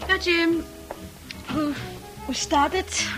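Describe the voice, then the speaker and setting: An actress playing a forward, coaxing woman who is a bar regular in a Dutch radio drama, familiar and expressive. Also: very high voice, drawn-out words, full character voice, rough recording. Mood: breezy. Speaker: actor in a radio play. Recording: music playing behind.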